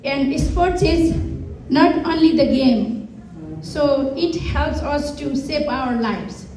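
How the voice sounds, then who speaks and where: A woman from Nepal with a Nepali accent, a former youth soccer coach who reads a prepared speech at a gala and shifts between English and Nepali at an unhurried pace. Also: high-pitched, dark tone, reverberant, a little hiss or hum, poor audio.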